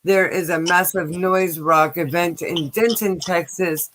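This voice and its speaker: A woman's voice: fairly low pitch, fairly even intonation, unhurried, fairly bright tone, a little hiss or hum, poor audio. A woman from Colorado co-hosting a casual live-stream chat, with brief, agreeable remarks. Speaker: co-host.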